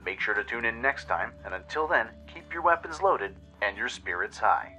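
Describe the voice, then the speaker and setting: A man's voice: medium pitch, expressive, moderate pace, bright, forceful tone, soft background music, low-quality recording. A man giving dramatic scripted narration of a story, as the host of a radio-style show.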